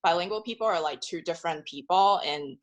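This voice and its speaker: A woman's voice: fairly low pitch, brisk. A woman asking a question on a video call, chatty and rambling, with lots of casual fillers and frequent laughs. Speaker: audience member asking a question. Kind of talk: casual chat.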